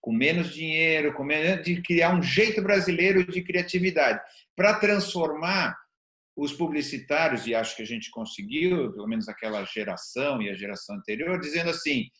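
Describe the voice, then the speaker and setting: A Brazilian man interviewing conversationally, thinking aloud in a halting, reflective manner. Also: a little high, lively intonation, a bit bright. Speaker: interviewer with an advertising background. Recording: roomy, echoing sound.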